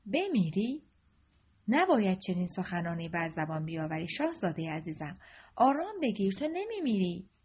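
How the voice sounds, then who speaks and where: A woman voicing an aging male king who is commanding and fatherly, with a husky voice on a dull lo-fi recording. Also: mid-range voice, sing-song. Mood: soothing. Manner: imperious, loud commands.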